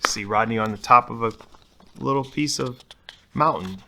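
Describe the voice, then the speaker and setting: A man's voice: medium pitch, moderate pace, creaky, pushed and bright, poor audio. A man reading a children's picture book aloud expressively, putting dramatic emphasis on key words. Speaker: storybook reader.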